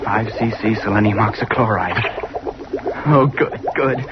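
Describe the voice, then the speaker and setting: A man's voice: on the low side, measured pace, loud music behind the voice, natural voice. A man playing an obsessive, unhinged young college student in an old-time radio horror drama, intense, hesitant and repeating himself. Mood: obsessed. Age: young adult. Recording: dull, noisy old radio recording.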